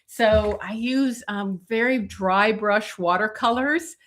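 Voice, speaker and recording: A middle-aged woman illustrator chatting casually on a live stream, with many fillers and a voice going hoarse. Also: medium pitch, takes it slowly, a bit bright. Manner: many fillers, talks while showing pages. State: losing her voice, coughing.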